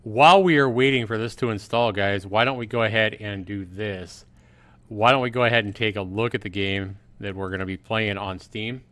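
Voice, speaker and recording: A man with a fairly low voice hosts a livestream. He talks casually and directly to his viewers, in the informal way of someone filling time on air. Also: normal speed, a bit bright. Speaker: livestream host.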